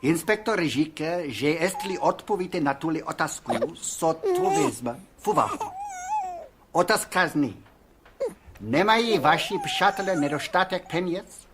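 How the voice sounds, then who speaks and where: Reserved interpreter, noisy background. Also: male voice, on the high side, sing-song, moderate pace. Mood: matter-of-fact. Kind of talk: radio drama acting.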